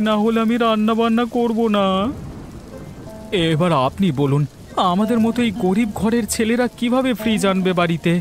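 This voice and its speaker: A man's voice: sits high, natural voice. A man playing a poor, put-upon village husband in a cartoon folk tale, plaintive and pleading. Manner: pleading, complaining.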